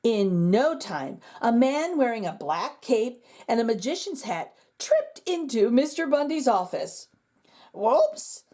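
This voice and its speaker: A woman reads a children's picture book aloud with an expressive storyteller's delivery. Her voice sits high and swoops up and down with lively energy. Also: measured pace, hardly any pauses. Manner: expressive and animated.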